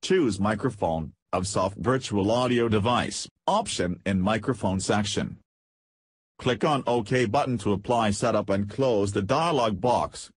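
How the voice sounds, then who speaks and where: A man reading scripted step-by-step software instructions in a measured way as a tutorial voice-over. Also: fairly low pitch, breathy edge, no echo.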